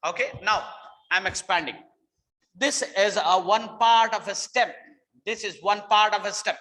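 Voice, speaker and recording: A man's voice: high voice, moderate pace, sharp tone, long reverb tail, poor audio. A man with an Indian English accent, teaching a botany lesson online, repeating his points and questioning students, sometimes switching into Hindi.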